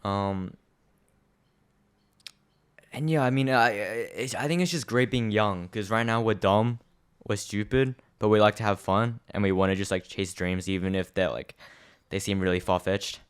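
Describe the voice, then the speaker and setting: A man's voice: on the low side, steady tone, moderate pace, clean tone. A young man co-hosting a podcast, chatting casually and reflectively, rambling with many fillers. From Australia. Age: young adult. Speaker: podcast co-host. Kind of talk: casual chat.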